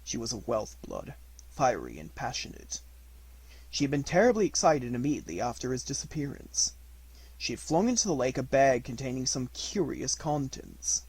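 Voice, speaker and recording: A man with a husky voice giving an expressive, scripted reading of a classic detective story as an amateur audiobook narrator. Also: medium pitch, dry recording, rough recording.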